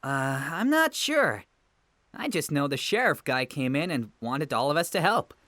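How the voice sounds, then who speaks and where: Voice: medium pitch, big pitch swings, a little slow, a bit bright, dry recording. A performer voicing an eager, carefree young male adventurer in a fantasy audio drama, with a casual, guileless way of talking. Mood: matter-of-fact.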